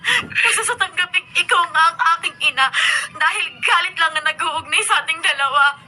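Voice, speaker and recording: A wounded, spiteful young daughter, confrontational and scornful in Filipino radio-drama style. Her high voice is extremely bright and forceful, with faint music behind it. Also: normal speed, full character voice, poor audio. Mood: tearful, bitter.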